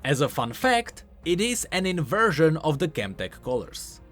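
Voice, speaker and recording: Male video creator, emphatic commentary, highly varied melody, explanatory, music bed underneath. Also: very animated, moderate pace, a bit bright.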